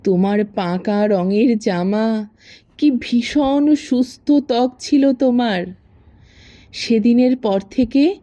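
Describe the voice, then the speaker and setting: A woman reciting a romantic poem expressively, with tender, wistful and deliberate phrasing, in a muffled, echoing recording. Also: a little high, mellow. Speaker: poetry reciter.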